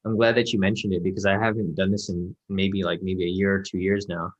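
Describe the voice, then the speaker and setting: A man mentoring a student over a video call in a relaxed, reassuring way with many fillers. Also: low-pitched, fairly quick, lo-fi sound. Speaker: trading mentor. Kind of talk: casual one-on-one mentoring call.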